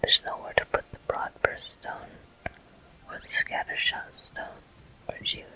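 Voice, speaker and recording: Asmr gamer whispering soft game commentary, really airy n sharp, slow little phrases, gentle. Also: rough recording. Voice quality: soft unvoiced whisper, breathy. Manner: gentle, unhurried, talking in short phrases to viewers. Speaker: video game player and host.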